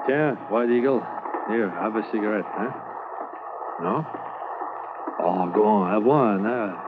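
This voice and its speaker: An actor playing a kindly, hopeful constable who speaks halting English mixed with words, in a 1950s radio drama. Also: male voice, lowish voice. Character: French-Canadian Mountie constable, kindly, hopeful. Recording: tinny old radio transcription, hiss.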